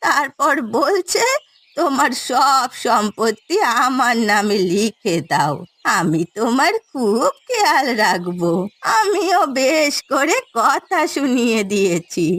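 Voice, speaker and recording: A woman's voice: high-pitched, very animated, slow pace, fairly bright tone, dry recording, heavily acted. A woman voicing a feisty, playful old village grandmother in a cartoon, chatty and theatrical in her talk. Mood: indignant, boastful. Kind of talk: cartoon story dialogue.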